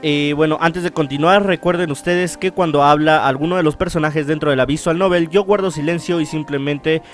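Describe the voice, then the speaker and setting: A man with a slightly high voice hosts a visual novel let's-play. He talks casually and reads on-screen text aloud, stumbling and restarting phrases. Soft game music plays behind him. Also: fairly even intonation. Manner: halting read-aloud with stumbles and self-corrections. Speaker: gaming channel host.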